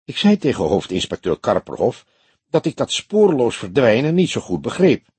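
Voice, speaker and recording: A man's voice: mid-range voice, huge pitch swings, moderate pace, darkish tone, husky, no room sound, full character voice. A man voicing an earnest young male detective, a questioning and slightly wry junior partner in an audiobook.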